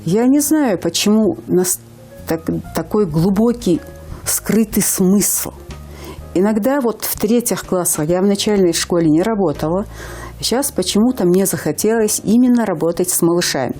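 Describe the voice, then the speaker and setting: A woman's voice: medium pitch, lively intonation, normal speed, dark tone, faint music behind. A woman in her fifties from Ingushetia, a language teacher speaking earnestly as a guest on a TV morning show, with hesitant fillers. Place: TV studio.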